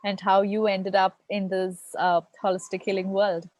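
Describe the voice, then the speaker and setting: A woman's voice: medium pitch, flat delivery, moderate pace, no echo. A woman hosting a live online interview show, greeting viewers and her guest effusively. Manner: warm, effusive welcomes. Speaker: show host.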